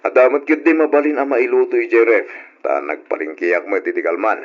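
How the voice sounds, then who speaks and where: A man's voice: medium pitch, brisk, natural voice. A man playing an affectionate, respectful young father in a radio drama, with a mild manner. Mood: affectionate, easygoing. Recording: dull lo-fi radio recording.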